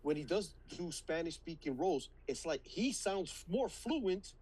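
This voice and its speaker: Podcast co-host, male, slightly high and husky, easygoing talker. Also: lively intonation, unhurried, a little mellow. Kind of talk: casual movie chat.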